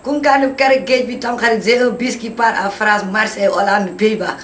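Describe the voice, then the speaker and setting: An actor voicing an excitable, loudmouthed schemer in a cartoon, with a Senegalese accent and an exclamatory, scolding delivery. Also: medium pitch, brisk, lightly acted. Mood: boastful. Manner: exclamatory, scolding, switching between two languages. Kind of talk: animated cartoon voice acting in comedy. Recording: roomy, echoing, with background noise.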